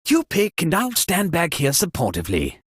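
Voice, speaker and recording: A man's voice: fairly high pitch, wildly swooping pitch, moderate pace, husky, no echo, clean studio sound, full character voice. A man playing a pompous, melodramatic landed-gentry party host in a comedic video game. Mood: haughty, fussy. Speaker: game character hosting a murder mystery party.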